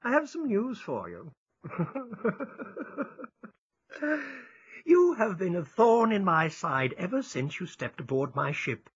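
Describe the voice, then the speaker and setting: An actor playing a haughty, spiteful British navy captain in an audio drama, pompous, measured and taunting. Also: male voice, sits high, huge pitch swings, husky, heavily acted. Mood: gloating. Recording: slightly dull recording.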